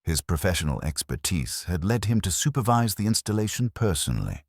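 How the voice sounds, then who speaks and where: Male audiobook narrator for mystery fiction: extremely deep, dry studio sound, formal literary delivery. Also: very animated, brisk, dry recording. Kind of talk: scripted mystery story narration.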